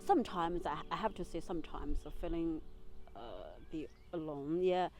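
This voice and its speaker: A woman from China, a young mother speaking slowly and searching for words in a documentary interview. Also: low voice, fairly even intonation, darkish tone, faint music behind. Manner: halting, searching for English words. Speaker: migrant mother.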